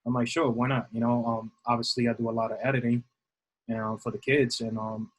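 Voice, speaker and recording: Husky new guy, middle-aged, rambly with lots of ums. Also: mid-range voice, level tone, a little fast. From New York City. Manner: rambling, many fillers and restarts. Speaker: guest, assistant baseball coach. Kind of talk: casual interview answers.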